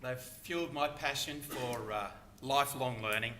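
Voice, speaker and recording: A man's voice: mid-range voice, moderate pace, bright, forceful tone, slight background noise. Earnest older man giving a panel talk. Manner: earnest, reflective, quotes other speakers. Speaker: conference panel speaker. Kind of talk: prepared panel speech. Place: conference hall.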